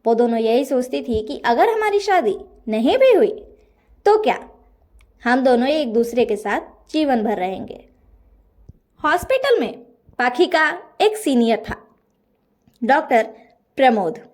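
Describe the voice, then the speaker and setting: A woman's voice: high voice, big pitch swings, a little slow, long pauses, a little mellow. A woman narrating a scripted bedtime story as a storyteller.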